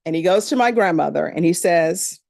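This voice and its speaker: A woman's voice: fairly low pitch, animated, normal speed, vocal fry, darkish tone, dry recording. A middle-aged woman from Louisiana with a Louisiana accent, a judge speaking to a jury panel in a courtroom in a plain, conversational storytelling manner.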